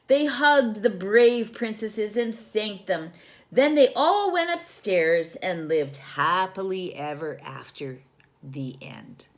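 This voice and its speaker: A woman reading a children's story aloud in a teacherly manner, pausing to put questions to young listeners and explain things. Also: mid-range voice, expressive.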